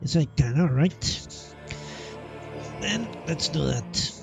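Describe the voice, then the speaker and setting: A man's voice: fairly high pitch, animated, slow, vocal fry, music in the background. A man casually commentating on his own gameplay live, thinking aloud and muttering to himself with mild swearing. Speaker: live game streamer.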